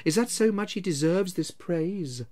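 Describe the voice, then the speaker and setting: A reader voicing a calm, solemn spirit who speaks in a quiet, measured way. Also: mid-range voice, voice pushed up, close to an everyday voice.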